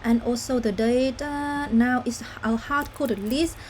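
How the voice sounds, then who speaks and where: A young woman from Vietnam, walking through a demo of her student app in a careful, halting way with many fillers. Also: a little high, steady tone, a little mellow, a little hiss or hum. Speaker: student intern presenting an app. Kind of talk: screen-recorded app demo walkthrough.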